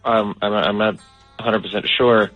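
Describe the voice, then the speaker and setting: A man's voice: on the low side, steady tone, normal speed, no room sound, faint music behind. A man answering a phone call in a polite, hesitant manner. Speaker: prank call recipient.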